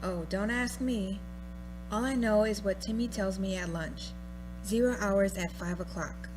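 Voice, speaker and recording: A woman's voice: mid-range voice, a little slow, noisy background, lightly acted. A woman playing a chatty, gossipy housewife friend on a telephone call in a radio play. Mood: casual. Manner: breezy, gossipy, unconcerned.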